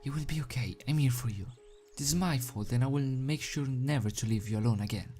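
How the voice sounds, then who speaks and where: A man's voice: mid-range voice, fairly quick, warm tone, faint music behind, close to an everyday voice. A man voicing a caring, bookish female unicorn pony with an earnest, concerned manner in a fan story reading.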